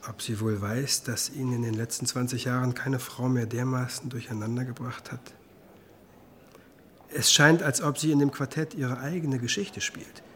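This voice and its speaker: An actor playing a reflective musicologist who narrates his memories in a measured, understated radio drama style. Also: medium pitch, fairly even intonation, fairly bright tone, a little hiss or hum, natural voice. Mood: musing.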